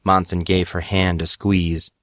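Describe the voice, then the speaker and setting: A man reading scripted fiction as narrator, in a measured, dramatic storytelling manner. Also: deep voice, flat delivery, fairly bright tone, no room sound, studio quality.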